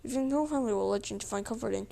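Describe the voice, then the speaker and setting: A woman delivering a mock emergency broadcast announcement in a halting way, repeating words. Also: a little high, gravelly, mellow. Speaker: narrator of a mock emergency alert.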